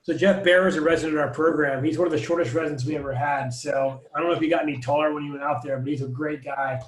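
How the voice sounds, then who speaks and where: A man's voice: on the high side, steady tone, quick pace, reverberant, poor audio. A man hosting a casual video-call welcome as a residency program director, upbeat and jokey. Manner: upbeat, jokey, friendly banter.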